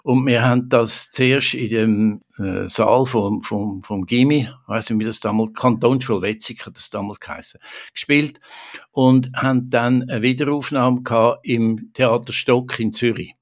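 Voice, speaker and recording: An older man tells a story from his own life in a Swiss German accent. His voice sits in a middle pitch range. He often hesitates, fills pauses and restarts his sentences as he remembers. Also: steady tone. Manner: hesitant, many fillers and restarts. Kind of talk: casual spoken storytelling.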